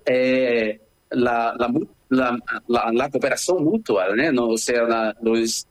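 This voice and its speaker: A husky-voiced man with a Brazilian Portuguese accent, speaking as a guest in a remote interview. He talks with conviction but stumbles often, restarting words and filling gaps with "eh". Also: medium pitch. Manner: impassioned, halting with restarts and fillers.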